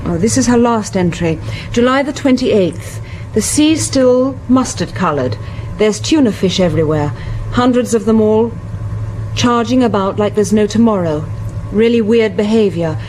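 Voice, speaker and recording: A woman's voice: mid-range voice, lively intonation, normal speed, a little mellow, slight background noise, close to an everyday voice, rough recording. An actress playing a thoughtful woman scientist in a radio thriller, reading. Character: British woman marine biologist reading a diary aloud.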